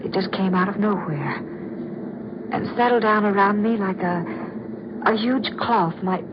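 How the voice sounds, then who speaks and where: A woman's voice: mid-range voice, close to an everyday voice. Vintage radio horror, muffled and very noisy: actress as a troubled opera soprano, mid-range female voice, theatrical and dread-haunted, lingering on her words. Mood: mounting dread. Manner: theatrical, dramatic, lingering pauses. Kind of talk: old-time radio horror drama acting.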